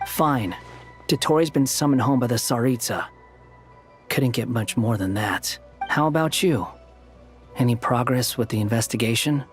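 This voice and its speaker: A man's voice: medium pitch, normal speed, soft background music, close to an everyday voice. A man playing an aloof, cynical young man in a video game, blunt and clipped in manner. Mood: curt. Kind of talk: scripted video game dialogue.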